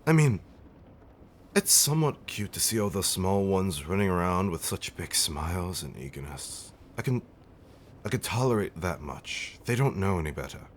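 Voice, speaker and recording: A man playing a centuries-old vampire husband in an intimate comfort roleplay, tender and theatrically fussy, with genteel, old-fashioned wording and dramatic pauses. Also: low voice, big pitch swings, slight background noise. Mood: indignant storytelling. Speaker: audio roleplay performer.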